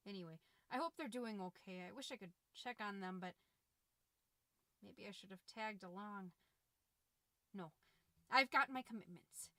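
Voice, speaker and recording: A woman's voice: medium pitch, sharp tone, no room sound. A woman playing a middle-aged, folksy, fretful suburban mom who hosts a confiding, rambling comedy podcast with anxious over-cheerfulness. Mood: chatty, wistful, then defensive, gushing. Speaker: podcast host.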